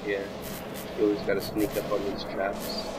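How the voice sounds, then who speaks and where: A young man with a slightly croaky voice, chatting casually to viewers while playing a game, jumpy and easily startled. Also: lowish voice, completely flat delivery, moderate pace, heavy background noise. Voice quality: a little croaky. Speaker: gamer. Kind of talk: casual gameplay commentary.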